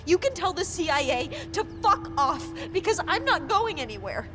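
High-pitched, emotional teenage girl. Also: sing-song. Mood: angry defiance. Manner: emotional, halting, pleading and protesting. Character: upset, defiant teenage girl test subject. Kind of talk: dramatic video game acting. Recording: music under the voice.

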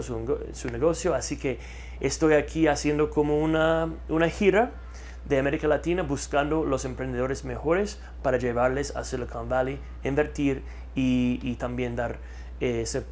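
A man's voice: mid-range voice, slight background noise. Guy in his 30s, repeats words a lot, lapel mic studio interview. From United States. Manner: repeats words for emphasis, animated hand gestures. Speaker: guest, marketing consultant. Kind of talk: energetic interview talk. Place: studio with map backdrop.

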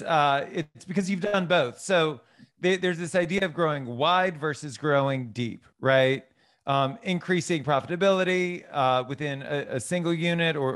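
Slightly high-voiced American male interviewer. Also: a bit bright. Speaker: host, interviewer. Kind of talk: conversational interview questions. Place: indoor home studio. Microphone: studio mic on boom arm, close.

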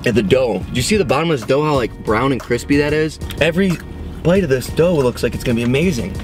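Young dude in a car hyped about pizza, normal pitch voice, music in the background. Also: male voice, animated, fast. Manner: enthusiastic, says dude a lot. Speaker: food vlogger co-host. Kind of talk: casual food review chat. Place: parked car. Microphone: dashboard camera.

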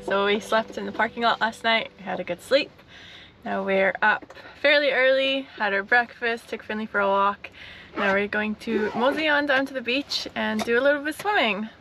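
A woman's voice: fairly high pitch, bright, forceful tone, a little hiss or hum. A woman in her thirties narrating a casual travel vlog, chatty and upbeat, filming herself at arm's length outdoors and in a van. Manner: upbeat, chatty, explaining plans to camera. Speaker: travel vlogger. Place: outdoors at a beach and harbor, also inside a camper van. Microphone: handheld camera at arm's length.

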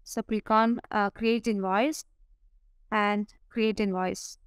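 A woman narrating a step-by-step software tutorial over a screen recording, pausing often with "uh" fillers. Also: mid-range voice, steady tone, clean tone, dry recording, studio quality. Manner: explains step by step with frequent "uh" fillers.

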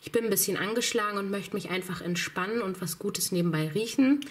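A woman's voice: mid-range voice, brisk. A woman in her thirties, slightly under the weather, chatting to viewers as she films around her home. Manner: chatty, enthusiastic, addressing viewers directly. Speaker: vlogger. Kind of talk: casual vlog walkthrough. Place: home, indoors.

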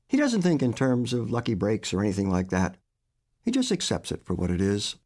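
An older man reads his own literary short story aloud. His voice sits on the low side yet rises and falls a great deal as he tells it, measured and expressive. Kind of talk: literary fiction reading.

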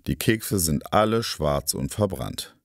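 A man reading a scripted story for language learners with careful, clear diction. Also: a bass voice, very animated, unhurried, no echo, studio quality. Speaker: narrator of stories for language learners.

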